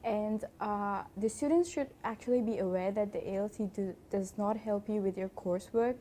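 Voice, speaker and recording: A woman's voice: mid-range voice, moderate pace, mellow, slight background noise. A young woman from Nepal, an accounting student explaining her experience in an earnest, casual way. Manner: earnest, explanatory, occasional light laughs. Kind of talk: casual testimonial.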